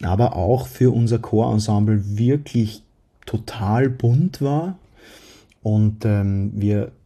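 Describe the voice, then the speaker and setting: A man speaking as a choir director, giving a prepared account of his work in a measured, reflective way. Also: fairly low pitch, warm tone, husky. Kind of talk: prepared spoken self-introduction.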